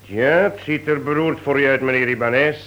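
Composed gentleman detective played by an actor, muffled recording. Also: mid-range voice, close to an everyday voice. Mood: probing. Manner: courteous, measured, questioning. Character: composed, authoritative English gentleman detective, a knight. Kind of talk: Dutch radio play acting. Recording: dull old radio recording.

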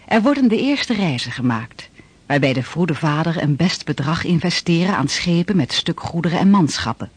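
An actor narrating a historical radio play in a formal, measured, declamatory way. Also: male voice, fairly high pitch, animated, husky, dry recording. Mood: stately. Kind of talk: scripted narration. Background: music.

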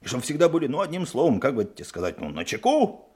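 An actor playing a pompous, skeptical policeman in a radio drama, explaining things in a patronising, emphatic, halting way. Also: mid-range voice, wildly swooping pitch, darkish tone, breathy edge. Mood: condescending. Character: pompous, skeptical male police colleague, sneering at a novice.

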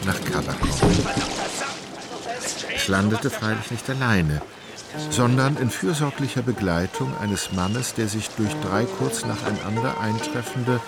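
A man narrating a radio play in a measured, formal literary style. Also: on the low side, expressive, gravelly. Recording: loud music and background effects.

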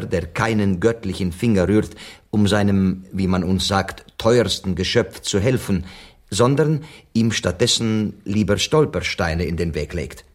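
An actor playing an amused, courteous Spanish interpreter in a German radio play, explaining in a measured way and mixing in Spanish phrases. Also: male voice, low-pitched. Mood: patient, translating.

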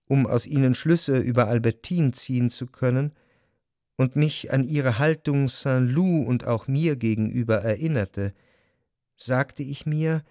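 An actor playing a reflective, melancholy male narrator, reading scripted first-person literary prose for a radio drama in measured, formal phrasing. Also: mid-range voice, a little mellow, dry recording, clean studio sound, natural voice. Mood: pensive.

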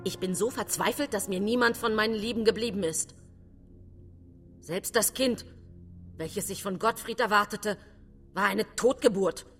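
A woman's voice: mid-range voice, moderate pace, pauses often, faint music behind, lightly acted. An actress playing a despairing widow in a radio drama, lamenting her dead as if haunted by their graves. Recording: long cavernous reverb.

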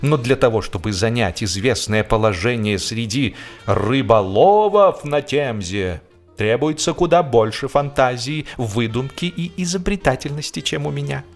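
A man giving a theatrical, humorous literary narration with expressive, ironic delivery and deliberate pauses. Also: medium pitch, soft background music. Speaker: audiobook narrator and actor.

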